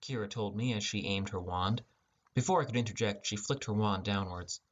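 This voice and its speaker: A young man narrates a fantasy adventure in the first person. His voice sits on the low side, and he reads scripted prose as an audiobook storyteller. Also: normal speed.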